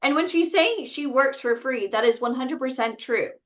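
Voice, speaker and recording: A woman's voice: sits high, normal speed, a bit bright, highs cut off. A woman hosting a live online session, upbeat and emphatic, restating points for the audience. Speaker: host.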